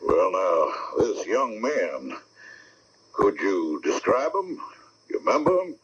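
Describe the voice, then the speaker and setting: A man playing a folksy, sympathetic small-town figure in a 1970s radio drama, halting and conversational, on a dull AM broadcast. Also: low voice, big pitch swings, slightly rough, heavily acted. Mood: skeptical, curious.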